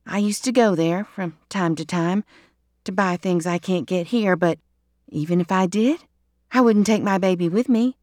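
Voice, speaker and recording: A woman's voice: fairly low pitch, normal speed, darkish tone, no echo, clean studio sound, close to an everyday voice. A woman voicing a distrustful young mother who speaks tensely and defensively. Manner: tense, raised and clipped.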